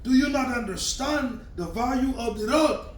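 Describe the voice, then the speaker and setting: A man's voice: very high-pitched, normal speed, pitched above the performer's own voice. A man voicing a stern, scolding old great-uncle in an echoey room, heard from a distance. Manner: emphatic, scolding, rising to shouts. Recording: echoey room, distant mic, faint hiss.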